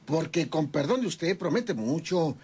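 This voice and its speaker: A man's voice: fairly high pitch, expressive, measured pace, a little mellow, slightly rough, exaggerated voice. A man acting a simple, cheeky ranch hand, a droll comic sidekick with a rural northern Mexican accent, in an old-fashioned radio drama. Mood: skeptical, droll.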